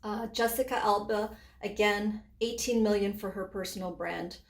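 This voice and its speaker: A woman's voice: mid-range voice, fairly even intonation, moderate pace, reverberant. A woman in her forties, raised between Hong Kong and Canada, giving a conversational webinar presentation with frequent fillers and restarts. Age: 40s.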